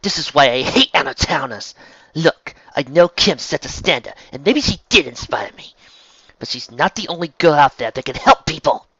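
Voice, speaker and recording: Man voicing a high-pitched, indignant teenage girl. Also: big pitch swings, moderate pace, breathy edge, no room sound, muffled recording. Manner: indignant, defiant. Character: hot-tempered, cocky teenage girl crimefighter.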